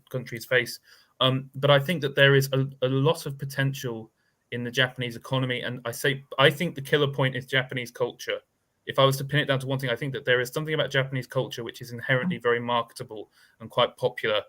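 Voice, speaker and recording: A man's voice: mid-range voice, bright, forceful tone. A man speaking as a guest expert on a discussion panel, thoughtful and hedging, with many fillers and restarts.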